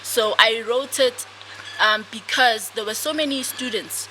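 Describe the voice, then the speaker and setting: A young woman from South Africa, a guest author answering interview questions in a soft, hesitant way with many fillers. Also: on the high side, unhurried. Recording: noisy podcast recording.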